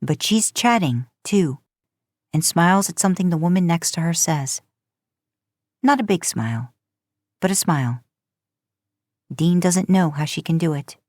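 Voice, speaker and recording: Woman, lowish voice, scripted story narration, fiction reading, very dry sound. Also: lively intonation, unhurried, long pauses, a little mellow, no room sound. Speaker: podcast host narrating fan fiction.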